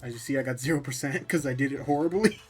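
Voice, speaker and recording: A man's voice: mid-range voice, fast, breathy edge. Casual man chatting over music. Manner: informal, rambling, self-deprecating. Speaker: tutorial video maker. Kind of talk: casual gameplay tutorial chat.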